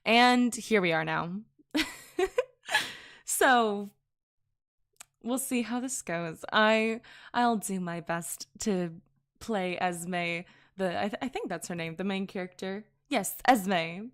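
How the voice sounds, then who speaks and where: A woman's voice: medium pitch, slow pace. A woman streaming casually to her chat, giggling often and correcting herself mid-sentence. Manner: giggly, chatty, laughs often between sentences. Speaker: VTuber streamer.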